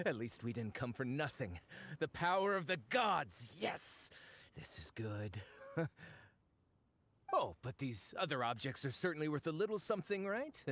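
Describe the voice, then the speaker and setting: An actor playing a greedy, self-important fake archaeologist in an anime game, pompous, hedging and excitable. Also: male voice, a little high, heavily acted. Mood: greedy glee. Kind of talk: anime video game voice acting.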